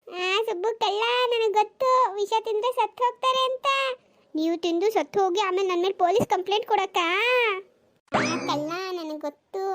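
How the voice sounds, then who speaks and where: Performer voicing a shrill, scatterbrained cartoon housewife. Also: a little fast. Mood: accusing. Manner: exclaiming, nagging. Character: scatterbrained, superstitious, quarrelsome housewife and mother. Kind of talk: comedy cartoon dialogue.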